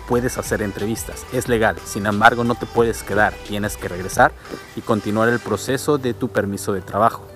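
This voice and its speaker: A man talks to a handheld camera held at arm's length. He walks outdoors and explains things in a steady way. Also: lowish voice. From Mexico. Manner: steady, explanatory, talking while walking. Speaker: vlogger. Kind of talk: walking vlog explaining immigration. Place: outdoors on suburban streets and a transit station. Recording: music playing underneath. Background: music.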